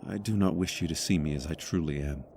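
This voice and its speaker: An actor playing a solemn, courteous trapped spirit in a fantasy audio drama, speaking in a measured, formal way. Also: male voice, a bass voice, darkish tone, slight background noise. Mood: somber.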